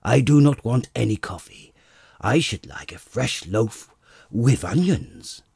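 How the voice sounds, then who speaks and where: A man voicing a timid, bewildered barber who mutters in a low, uncertain way. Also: animated, takes it slowly, gravelly, a little mellow, breathy edge, no room sound, studio quality, full character voice. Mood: calm. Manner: muttering, hesitant. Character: bewildered timid middle-aged male barber.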